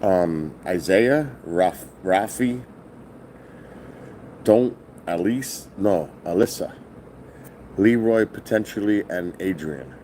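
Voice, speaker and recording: A middle-aged man from New with a loud voice, telling a rambling, profane story on a casual stream from his hotel. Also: sits low, lively intonation, slow pace, long pauses, slight background noise, poor audio. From New York. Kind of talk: casual live-stream vlog. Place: hotel, indoors.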